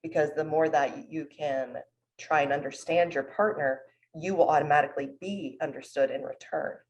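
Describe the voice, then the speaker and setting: A woman's voice: deep voice, moderate pace, low-quality recording. A woman therapist speaking humbly and thoughtfully about her clients on a panel. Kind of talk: panel discussion.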